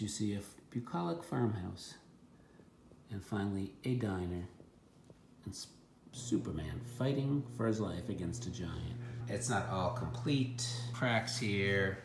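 A man's voice: lowish voice, vocal fry. A middle-aged man giving casual, off-the-cuff commentary on thrift finds, with dry, wry, muttered asides. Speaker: reseller showing thrift finds. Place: indoor room at home, at a table. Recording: noisy home recording with handling clicks.

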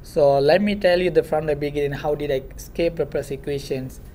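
A man's voice: on the high side, fairly even intonation, fairly quick, warm tone, slight background noise. A young man from Myanmar, a native Rohingya speaker, giving an earnest talk as a guest speaker in a classroom, filmed by a far camera. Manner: earnest, storytelling with hand gestures.

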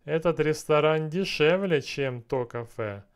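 A man in his thirties on a headset mic in a small room, working through language drills out loud, repeating and translating each phrase and muttering to himself. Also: a little high, unhurried, smooth. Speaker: language learner streaming a lesson. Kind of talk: thinking aloud while doing app exercises.

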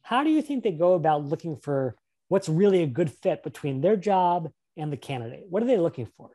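A man's voice: on the high side, very animated, brisk, dark tone. A man presenting a career webinar over a video call, upbeat and encouraging, often putting questions to a volunteer. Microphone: webcam on a video call.

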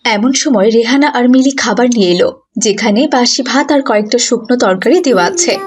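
High-voiced woman narrating a children's story. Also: normal speed.

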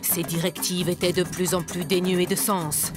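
An actress playing a solemn woman judge of a forest tribe in a cartoon, telling tales in a deadpan manner. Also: lowish voice, normal speed, natural voice. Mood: dry. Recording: music behind the voice.

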